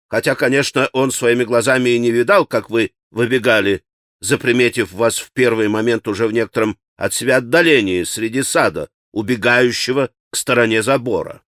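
A man voicing a stern, methodical prosecutor in an audiobook, questioning a suspect in a formal, measured, insistent manner. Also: medium pitch, a bit bright, no echo, clean studio sound, lightly acted. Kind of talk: dramatic audiobook character acting.

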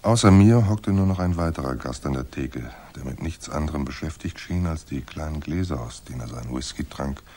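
A hardboiled private eye in a radio drama, with a very low, rough-edged voice. He is laconic and wry, telling his case and trading lines in a dry deadpan. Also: brisk, few pauses. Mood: dry, observant. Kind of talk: hardboiled radio play narration and dialogue.

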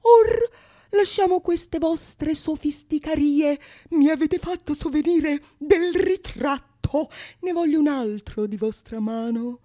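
Vain male fool in love, pompous theatrical delivery, high voice played by a woman. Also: lively intonation, slow, dark tone. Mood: impatient, eager. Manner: pompous, self-satisfied. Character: vain, lovesick male fool boasting of his poetry. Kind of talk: theatrical radio monologue.

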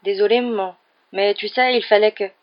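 A cheeky teenage son in a storybook, put on by a woman narrator. He answers his mother back in a raised pitch. The audio is a little muffled. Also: steady tone, moderate pace, close to an everyday voice. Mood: sheepish. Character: mischievous teenage brothers, cheeky sons answering their mother.

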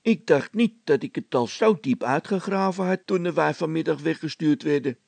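An eager village workman from a storybook, put on by a male reader, excitable and full of questions. His loud voice is pitched well up and has a rough edge. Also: moderate pace, no echo. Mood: eager, questioning.

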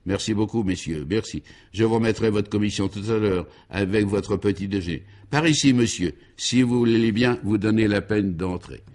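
A man's voice: on the low side, moderate pace, hardly any pauses, a little mellow, natural voice. Man playing an obsequious, long-winded valet. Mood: pleading, servile. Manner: deferential, long-winded, circling phrases. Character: obsequious, wordy hotel valet, a male servant. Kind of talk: comic radio serial.

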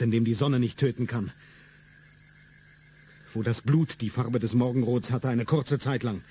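A man's voice: medium pitch, dark tone, a little hiss or hum, natural voice. A man playing a fierce, declamatory revolutionary. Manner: solemn, declamatory delivery. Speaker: radio drama actor. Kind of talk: stage-like radio play acting.

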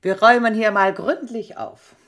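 A woman's voice: mid-range voice, steady tone, moderate pace, a bit bright, close to an everyday voice. An actress playing a guarded, stubborn refugee woman, terse and self-contained, in a radio drama. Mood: defiant.

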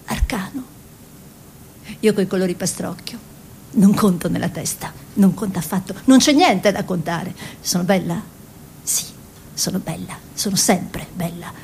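A woman's voice: mid-range voice, darkish tone, natural voice. Actress as a dreamy peasant-born woman painter, noisy recording. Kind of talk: theatrical radio drama monologue and dialogue.

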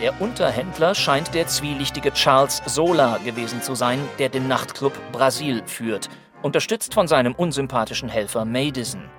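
Actor as male narrator recapping a detective radio drama, factual, loud music behind him. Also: medium pitch, moderate pace, natural voice. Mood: factual, suspenseful. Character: matter-of-fact narrator of a detective radio play. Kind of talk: scripted crime story recap narration.

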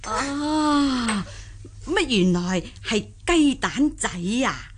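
An actress playing a naive, jolly old country granny in a radio drama, with folksy, exclamatory delivery. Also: female voice, fairly high pitch, lively intonation, extremely slow, slight background noise. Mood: wonderstruck.

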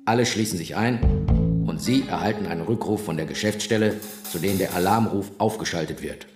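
A man's voice: sits low, a little fast, natural voice. An actor playing a sober, matter-of-fact male tax official explaining office procedures in a radio drama. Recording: echoey room with loud music.

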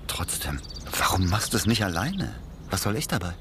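An actor playing a simple, plain-spoken accomplice in a radio crime comedy, blunt, doubtful and full of questions. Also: male voice, low voice, big pitch swings, normal speed, bright, forceful tone, slight background noise, heavily acted. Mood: questioning. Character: wary, simple-minded male accomplice, plain-spoken and greedy.

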